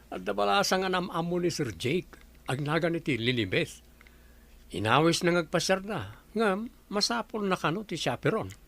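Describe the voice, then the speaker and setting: A folksy old working man in a radio comedy drama. His voice is gravelly and fairly high, swinging up and down as he chats in a kindly, deferential way. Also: sing-song, normal speed, fairly bright tone, full character voice. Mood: gossipy. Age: older.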